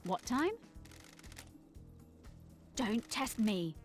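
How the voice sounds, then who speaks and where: A woman's voice: a little high, animated, very slow, full character voice. A woman voicing a cool, terse female investigator in short, stylized video game lines. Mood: cool, questioning. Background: game music.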